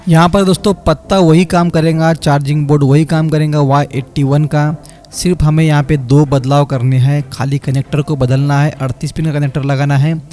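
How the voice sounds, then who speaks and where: A man, a phone repair technician narrating a step-by-step repair tutorial over his work. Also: mid-range voice. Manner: explanatory, step by step, addresses viewers as friends. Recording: quiet background music under voice-over. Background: light background music.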